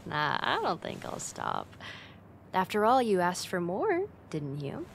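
Casting: actress as teasing young female roommate, ASMR roleplay, unhurried, mid-pitched, playful and affectionate, close-miked with some background noise. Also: huge pitch swings, creaky, exaggerated voice. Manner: teasing, intimate, giggly, slow and coaxing.

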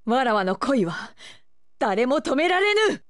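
A woman's voice: high voice, very animated, unhurried, no room sound, studio quality. A woman voicing a haughty, lovestruck young pirate empress in an anime video game, with imperious, archaic regal diction. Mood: disdainful, commanding.

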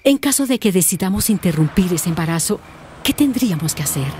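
A woman's voice: fairly low pitch, animated, fairly quick, mellow, a little hiss or hum, close to an everyday voice. A woman playing a worried, humble mother in her late thirties in a radio drama, tender and hesitant. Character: worried, humble 38-year-old widowed mother.

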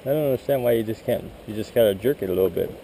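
Gravelly laid-back man fishing a creek. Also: fairly low pitch, rapid-fire, dark tone, rough recording. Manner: laid-back, teasing, slangy. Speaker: angler. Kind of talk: casual banter while fishing. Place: outdoors by a creek. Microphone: action camera. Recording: outdoor creek noise.

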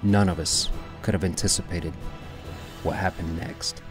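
Serious military captain telling his story, really low voice, radio drama recap with light music. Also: steady tone, unhurried. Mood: grave. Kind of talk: dramatic scripted monologue. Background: soft music.

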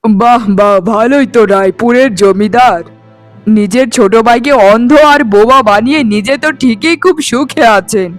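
A man acts out a righteous cartoon policeman. His voice is unusually high for a man, stern and declamatory, over faint music. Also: moderate pace, dry recording. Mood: stern, accusing. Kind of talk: cartoon storytelling dialogue.

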